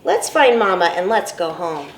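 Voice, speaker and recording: A woman's voice: lowish voice, sing-song, moderate pace, slight background noise. A woman voicing a bossy young girl, the older sister, speaking insistently. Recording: roomy, from a distance, faint ambience.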